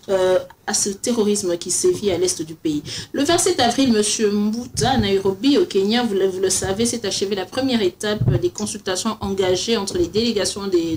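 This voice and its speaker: A woman's voice: mid-range voice, a little hiss or hum. A middle-aged woman, hosting and moderating a televised political debate in a studio. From Democratic Republic of the Congo. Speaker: talk show host and moderator. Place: TV studio round table. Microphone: desk microphone.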